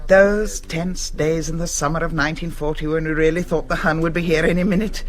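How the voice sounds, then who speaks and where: An actress playing a jolly, gushing middle-aged English school matron in a stage comedy, chatty and full of old-fashioned slang. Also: female voice, low-pitched, steady tone, brisk, close to an everyday voice. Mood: nostalgic, gushing. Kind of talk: British stage comedy acting.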